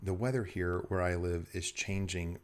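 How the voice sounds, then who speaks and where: Man host, very low voice, slightly scratchy, chatty explainer. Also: steady tone, normal speed. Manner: chatty, explanatory, occasional small laughs. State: scratchy voice from weather change. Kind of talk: casual video presentation.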